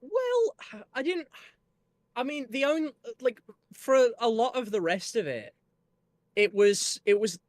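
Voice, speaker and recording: Young Yorkshire man, high voice. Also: expressive, slow pace, long pauses, darkish tone, dry recording. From Northern England. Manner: rambling, hesitant, lots of fillers and restarts, swears casually. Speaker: musician guest discussing his album. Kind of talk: casual chat.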